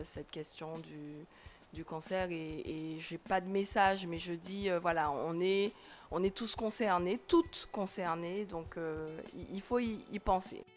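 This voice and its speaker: A woman in her late thirties, a TV editor and presenter, answering interview questions with frequent hesitations and restarts. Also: on the low side. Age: late 30s.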